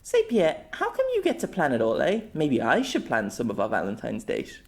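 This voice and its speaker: A man's voice: mid-range voice, wildly swooping pitch, normal speed. A man voicing a playful, teasing young woman adventurer who coos and pouts, in an audiobook story. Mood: childish, perky. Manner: cooing, pouting, cheeky.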